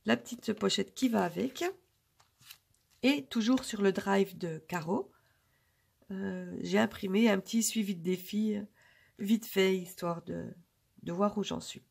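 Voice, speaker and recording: A woman with a hoarse, husky voice from a cold, chatting casually and rambling to her viewers with many pauses. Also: medium pitch, a little mellow. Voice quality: gravelly. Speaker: budgeting vlogger.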